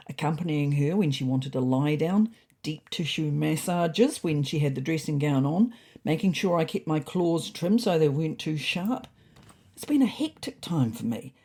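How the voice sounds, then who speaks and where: A woman voicing an anxious, earnest pet cat reading its diary in a measured, wistful storytelling manner. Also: sits low, mellow, breathy edge, full character voice. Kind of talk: first-person diary narration.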